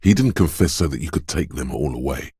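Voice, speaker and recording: An extremely deep-voiced quick-witted inner voice of a detective's instincts in a video game. He narrates in the second person, knowing and measured, with a dramatic touch. The sound is very close and dry. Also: lively intonation, creaky, warm tone, breathy edge, dry recording, full character voice. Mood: alert, suspicious. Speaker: voice actor.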